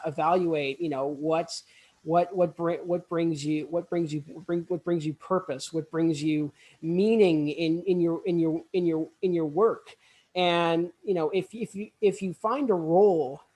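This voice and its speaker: A young man co-hosting a casual podcast interview, halting and repeating his phrases several times as he builds a thought. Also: a little high, darkish tone. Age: young adult. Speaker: student podcast co-host.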